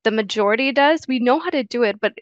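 A woman's voice: on the high side, fast talker, no room sound. A young woman from Guyana, a guest on a video call, answering earnestly with many fillers and restarts. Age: young adult. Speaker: interview guest. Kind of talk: casual interview answers. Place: small room indoors. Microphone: headset on video call. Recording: dull lo-fi video call audio.

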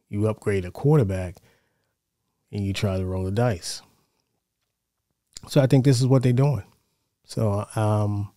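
A middle-aged American man giving casual sports commentary in a measured, conversational way, close on a studio mic. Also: fairly low pitch, warm tone, dry recording. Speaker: sports show host. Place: small home studio, indoors. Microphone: close broadcast mic on boom arm.

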